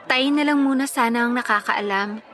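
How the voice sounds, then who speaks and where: An actress playing a teasing but sensible young college sweetheart in a radio drama, pert and pressing. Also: female voice, a little high, normal speed, a bit bright, faint music behind, natural voice. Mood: earnest, secretive. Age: young adult. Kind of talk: Tagalog radio drama acting.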